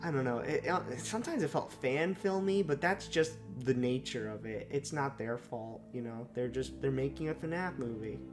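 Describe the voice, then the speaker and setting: A young man with a slightly sore, raspy throat, giving unscripted first impressions of a movie, rambling with lots of fillers and self-corrections. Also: mid-range voice, normal speed, hardly any pauses, over music. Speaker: movie reviewer.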